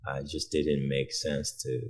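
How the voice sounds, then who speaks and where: A man from Togo whose first language is French, talking unhurriedly in a very deep voice. He is in his thirties and is a reflective guest who tells stories in a casual interview. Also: monotone, measured pace. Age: 30s. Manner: reflective storyteller, shifts pace.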